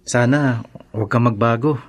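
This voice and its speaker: An actor playing a devoted, earnest young husband-to-be with a Filipino accent in a radio drama. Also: male voice, mid-range voice, moderate pace, close to an everyday voice. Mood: tender. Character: devoted, earnest young lover and expectant father.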